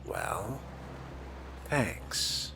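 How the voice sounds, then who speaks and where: Man playing a slow, pompous fledgling vampire. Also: lowish voice, wildly swooping pitch, vocal fry, exaggerated voice. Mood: dry, musing. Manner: pompous, dry. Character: haughty, wordy male fledgling vampire, disdainful. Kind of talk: video game roleplay narration. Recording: loud game music behind voice.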